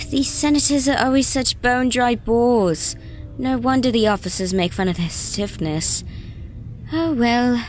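A woman playing a sassy, inquisitive, defiant reporter in a sci-fi audio drama, expressive and sarcastic. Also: on the high side, slow, soft background music. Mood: bored, exasperated.

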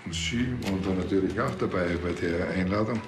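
A man's voice: deep voice, a little fast, over music. An actor with a Bavarian accent playing a blunt, wry village policeman in a TV crime comedy, grumbling dryly and colloquially. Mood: wry, probing.

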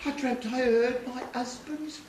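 A woman's voice: sits high, steady tone, unhurried, recorded from a distance. A woman playing a flustered, dramatic mother in a stage pantomime, with theatrical wailing. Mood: bewildered. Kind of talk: amateur pantomime stage acting. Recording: echoey hall, noisy camcorder tape from afar.

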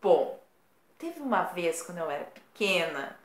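A woman's voice: fairly low pitch, big pitch swings, unhurried, echoey room. A woman in her thirties with a Rio Grande do Sul Brazilian accent, chatting playfully and expressively to camera in a small room. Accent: Rio Grande do Sul Brazilian Portuguese. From born in São Paulo, raised in Rio Grande do Sul. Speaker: YouTuber. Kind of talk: casual vlog to camera. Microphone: camera at close range.

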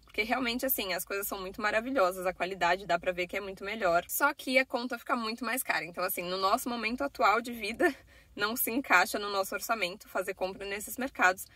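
A young woman with a Brazilian accent chats to her phone camera inside a parked car. Her voice is bright and forward, and the sound is dry and close, with no room echo. She talks casually and loosely, padding her sentences with fillers. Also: mid-range voice, fast talker. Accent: Brazilian Portuguese. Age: young adult. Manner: chatty, talking with her hands, many fillers like "né" and "assim". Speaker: vlogger. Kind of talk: casual vlog chat to camera. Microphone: phone camera at arm's length.

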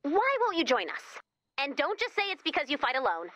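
A woman's voice: high-pitched, huge pitch swings, brisk, sharp tone, no room sound, exaggerated voice. A woman playing a wisecracking, eager, sarcastic female robot helper in a video game, quippy and playful with rambling asides. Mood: eager, persuasive. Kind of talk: scripted video game character dialogue.

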